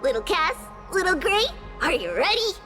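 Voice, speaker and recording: A woman's voice: very high-pitched, big pitch swings, normal speed, sharp tone, soft background music, full character voice. A woman playing a cheerful, childlike little girl priestess in a video game, sing-song and speaking of herself in the third person. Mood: cheerful, playful. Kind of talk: video game character acting.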